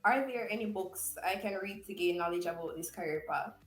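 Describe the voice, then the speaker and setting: A woman's voice: lowish voice, a little fast, reverberant, soft background music, poor audio. A young woman, a student, politely asking a guest prepared interview questions. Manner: polite, addresses guest as sir.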